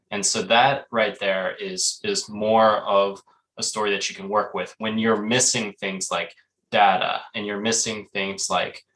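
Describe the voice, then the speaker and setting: Male panelist, low bright voice, hesitant and reflective, video call audio. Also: poor audio. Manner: thoughtful, halting, many fillers and restarts.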